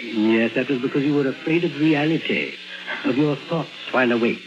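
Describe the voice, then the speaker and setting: An actor playing a self-assured, probing psychiatrist, firm but caring, with theatrical, deliberate questioning in 1950s radio drama style. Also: male voice, mid-range voice, close to an everyday voice. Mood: firm, measured. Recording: muffled vintage radio recording with music.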